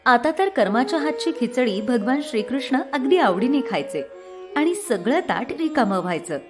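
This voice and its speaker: A woman narrating a scripted devotional story in an expressive storybook delivery. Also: fairly high pitch, moderate pace, over music.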